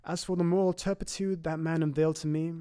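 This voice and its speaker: A man's voice: a little high, fairly even intonation, warm tone, clear, resonant tone, lightly acted. A man playing a grave, shaken Victorian doctor, recounting horror in formal, dramatic audio drama narration. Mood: shaken, despairing. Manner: formal, dramatic, measured storytelling.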